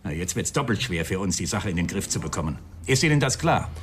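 An actor playing an irascible, grumbling police inspector, gruff and emphatic, in a German radio crime drama. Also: male voice, deep voice, very animated, fast, a little hiss or hum. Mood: indignant.

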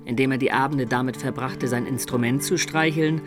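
An actor playing a lovesick young medieval student who recounts his life in a poetic, measured radio drama style, with hushed asides. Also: mid-range voice, steady tone, music in the background, natural voice. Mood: wry. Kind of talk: literary radio drama narration.

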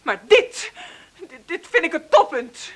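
An actress in a radio drama playing a proud, nervous, indignant mother living on welfare, with a halting, agitated delivery. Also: extremely high pitch, big pitch swings, heavily acted. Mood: indignant, upset. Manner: halting, agitated, repeats words. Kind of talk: naturalistic Dutch radio drama acting.

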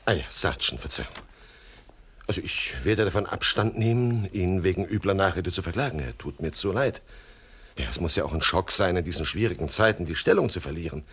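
A low, husky male swindler boss from a 1970s radio crime comedy. He is smooth and long-winded, dressing everything in mock courtesy, with a lively, swooping melody. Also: a little fast, heavily acted. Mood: feigned regret. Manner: verbose, mock-courteous, hesitant filler words.

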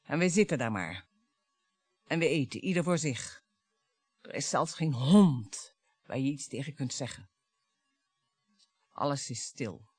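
An actress playing a wounded, bitter wife and mother in a radio drama, theatrical and sarcastic, moving between hushed and emphatic speech. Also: rumbling low, breathy edge, no echo, heavily acted. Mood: desolate, bitter.

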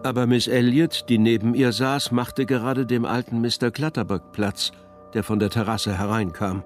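A man narrating a literary radio drama in a measured, descriptive manner. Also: mid-range voice, steady tone, soft background music.

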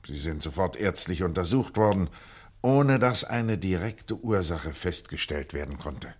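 Worried waterworks official, low voice, polite and earnest, explains stuff haltingly, radio play. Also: male voice, lively intonation. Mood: anxious warning. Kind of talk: German radio play acting.

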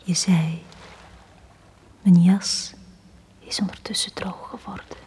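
An actress with a Flemish accent playing a hushed, dreamy young woman recalling words in a radio play. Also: fairly low pitch, fairly even intonation, slow pace, whispered. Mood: hushed remembrance. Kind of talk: 1980s radio play acting.

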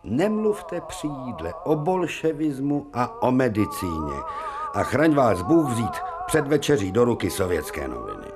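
Man as a pompous professor, swooping pitch. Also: very animated, over music, lightly acted. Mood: lecturing. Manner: pompous, emphatic lecturing. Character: opinionated, cultured gourmet male professor, older, lordly. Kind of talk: radio play dialogue.

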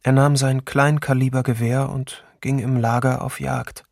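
An actor playing a male eyewitness in a documentary radio play, soberly recounting wartime atrocities in measured narration. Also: mid-range voice, little pitch movement, creaky, a little mellow, no room sound, clean studio sound. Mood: sober, grave.

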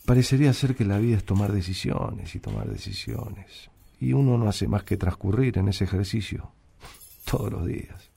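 A man's voice: lowish voice, moderate pace, vocal fry, mellow, husky, full character voice. A man with a Rioplatense Argentine accent acting a troubled, working-class factory worker in a radio drama, slangy and reflective. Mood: brooding, reflective. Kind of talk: radio drama acting and first-person narration.

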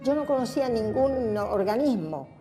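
A woman's voice: a little high, expressive, normal speed, mellow, faint music behind. An older woman with an Argentine accent gives a personal testimony, recollecting in a reflective, spontaneous way with emphatic repetition. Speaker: interviewee giving personal testimony.

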